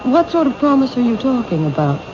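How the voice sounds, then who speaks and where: A reserved bookshop owner. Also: female voice, a little high, sing-song, a little fast, a crowd in the background. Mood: polite, reserved. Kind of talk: 1970s radio drama acting. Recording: dull old radio broadcast with hiss.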